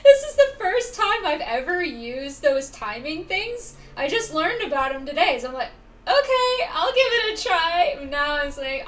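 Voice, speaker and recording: A woman livestreaming a game, chatting casually to viewers in a halting, musing, informal way. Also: high-pitched. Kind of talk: casual chat to stream viewers. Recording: slightly distant mic, faint background noise.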